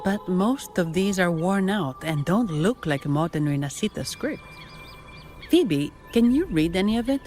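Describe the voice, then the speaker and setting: A composed young female adventurer in a video game. Her voice sits a little low and she sounds measured, curious and matter-of-fact. Music is clearly audible behind her. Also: animated, a little mellow. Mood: pondering, practical.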